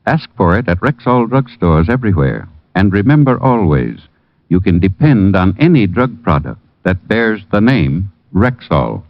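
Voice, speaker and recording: Radio sponsor announcer, male: low, reassuring, expressive intonation, dry muffled vintage sound. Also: a little slow, no echo. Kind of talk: scripted vintage radio advertisement.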